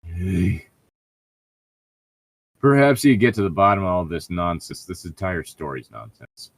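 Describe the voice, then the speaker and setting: A man's voice: low-pitched, lively intonation, a little slow, no echo. A man reading fan fiction aloud as a podcast host and riffing on it casually, in an exasperated, sarcastic and often profane manner. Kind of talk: casual riffing between lines read aloud.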